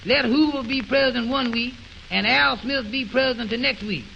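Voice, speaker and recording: A man playing a naive, slow-witted, questioning fellow in a 1920s radio comedy, with puzzled, halting, folksy ungrammatical talk on an old recording. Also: extremely high pitch, vocal fry, pushed and bright, highs cut off, a little hiss or hum, heavily acted. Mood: indignant.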